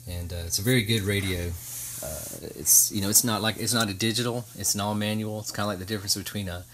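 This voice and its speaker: Low-voiced man, casual halting demo. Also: fairly even intonation, a bit bright. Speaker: homestead vlogger. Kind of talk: casual hands-on demonstration. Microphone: handheld camera, speaker behind it. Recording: noisy handheld camera recording.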